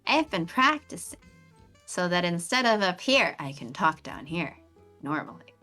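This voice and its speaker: A young woman chatting casually on a game stream, talking in a melodic, animated way with lots of pitch variation. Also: measured pace, soft background music. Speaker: streamer co-host.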